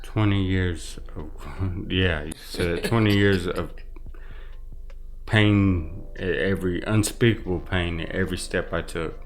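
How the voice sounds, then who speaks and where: A man giving personal testimony as an interview guest, halting and repeating himself, with determination. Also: low-pitched, fairly even intonation, faint music behind.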